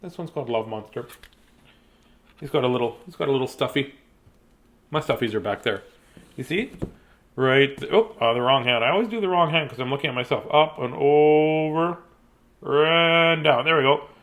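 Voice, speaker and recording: A man in his fifties reads children's bedtime picture books in an animated, playful, childlike way, with chatty asides. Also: mid-range voice, normal speed, pauses often. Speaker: children's storyteller. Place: small decorated room indoors.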